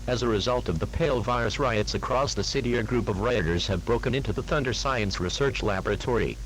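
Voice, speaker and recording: A man delivers an emergency broadcast announcement in a formal and grave manner. He speaks deliberately, as one reading official instructions, with a little noise on the recording. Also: medium pitch, poor audio. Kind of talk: scripted emergency alert announcement.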